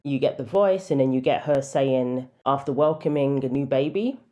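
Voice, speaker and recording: A woman with a deep voice giving casual, opinionated commentary with wry asides. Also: normal speed, dark tone, highs cut off.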